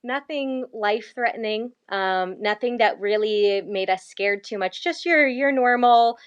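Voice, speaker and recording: A woman from Canada, a missionary guest, telling her story in an earnest, lively way and laughing often. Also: fairly high pitch, measured pace, clear, resonant tone, lo-fi sound. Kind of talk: conversational faith interview.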